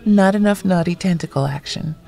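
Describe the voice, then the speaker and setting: An actress playing a blunt, wry, loyal friend in a naturalistic audio drama, speaking casually. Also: female voice, lowish voice, brisk, gravelly, warm tone. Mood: wry, teasing. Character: blunt, foul-mouthed, loyal woman friend, homebody.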